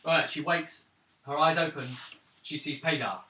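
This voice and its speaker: A man narrating a tabletop role-playing game as game master, with halting, improvised phrasing. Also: on the high side, bright, forceful tone. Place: indoor room around a gaming table. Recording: roomy, distant mic, faint noise, clicks.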